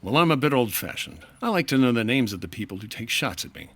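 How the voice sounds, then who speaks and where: An actor playing a dry, unflappable hard-boiled insurance investigator in clipped, deadpan 1950s radio detective style. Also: male voice, mid-range voice, fast talker, slightly rough. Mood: dry, matter-of-fact. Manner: terse, deadpan.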